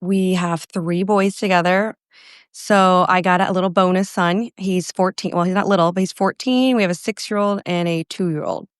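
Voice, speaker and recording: A woman in her thirties from Phoenix, a podcast guest telling her life story in an earnest, halting way, often correcting herself. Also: lowish voice, steady tone, clear, resonant tone, no echo, clean studio sound. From Phoenix, Arizona, USA. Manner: earnest, halting, with self-corrections and soft laughs. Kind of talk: personal testimony in an interview.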